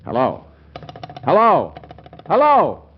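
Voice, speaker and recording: An actor playing a flustered, evasive newlywed lawyer who stammers and hesitates, in 1940s radio comedy style. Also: male voice, a little high, wildly swooping pitch, slow pace, a little hiss or hum, heavily acted. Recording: dull, band-limited 1940s radio recording.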